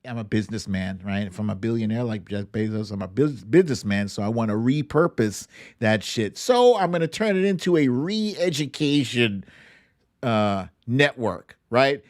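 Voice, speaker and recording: A man hosting an online show in a playful, animated, casual style with some swearing. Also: medium pitch, moderate pace. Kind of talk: casual livestream chat, comic picture-book reading and political commentary.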